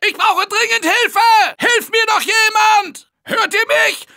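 Game survivor, urgent, bright high voice, lively, unhurried delivery. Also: male voice, gravelly, heavily acted. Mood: panicked. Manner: urgent barked warnings and calls for help. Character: male game survivor, tense office worker fighting zombies. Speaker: German dub of a game character. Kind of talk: game voice clips.

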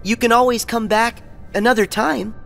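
A man's voice: very high voice, steady tone, moderate pace, creaky, fairly bright tone, exaggerated voice. A man voicing an earnest, plucky, loyal teenage boy hero in a video game cutscene. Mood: friendly. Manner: earnest, boyish. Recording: game music underneath.

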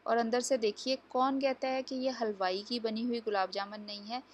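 A woman's voice: fairly high pitch, fairly even intonation, moderate pace, slight background noise. A woman hosting a home cooking tutorial, explaining each step to viewers in a chatty, instructive way. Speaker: cooking channel host. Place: home kitchen.

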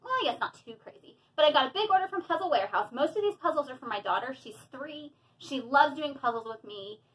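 A woman in her thirties chatting casually and excitedly while unboxing things in a small room at home, with frequent fillers. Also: sits high, quick pace, rough recording. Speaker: hobby vlogger.

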